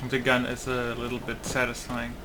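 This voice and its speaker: A man giving a casual let's play commentary, thinking aloud in a halting way. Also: medium pitch, monotone. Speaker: gaming YouTuber. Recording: clear game noise behind. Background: video game ambience.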